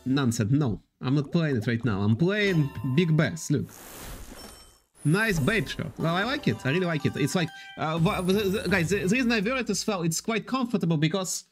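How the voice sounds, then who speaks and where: Lively man streaming casino slots. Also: fairly high pitch, moderate pace, soft background music. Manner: reads and answers chat, loose non-native English with repetitions. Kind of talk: live stream chat with viewers.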